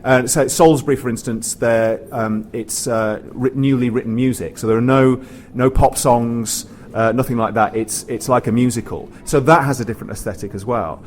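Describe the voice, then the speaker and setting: A man's voice: medium pitch, fairly even intonation, moderate pace, few pauses. A British man, a pantomime writer on a panel, chatting informally and hesitantly, often correcting himself as he goes. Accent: British English. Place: theatre, live panel after a show. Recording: live theatre recording with background noise.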